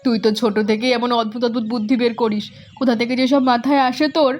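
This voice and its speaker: A woman voicing a fond, practical village mother in a cartoon who speaks gently and scolds lightly. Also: on the high side, fairly even intonation, very fast, faint music behind, close to an everyday voice. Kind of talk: cartoon voice acting.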